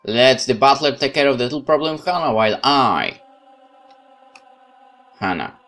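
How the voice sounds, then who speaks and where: A man's voice: mid-range voice, animated, moderate pace, fairly bright tone, faint music behind. Man reading a horror game aloud. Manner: dramatic narration with casual asides. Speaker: let's play host. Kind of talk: reading a visual novel aloud with commentary.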